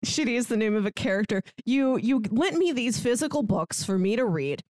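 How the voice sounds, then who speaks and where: A woman's voice: on the high side, lively intonation, brisk, darkish tone, no echo. A woman co-hosting a podcast, chatting casually about books in a rambling, giggly way. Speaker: podcast co-host.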